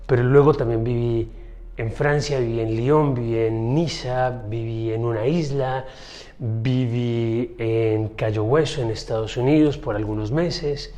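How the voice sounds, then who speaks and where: A man's voice: medium pitch, steady tone, unhurried, mellow. A man from Colombia, hosting a solo podcast in a casual, reflective way and talking straight to his listeners. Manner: chatty, addresses listeners directly; reflective, personal storytelling. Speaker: podcast host.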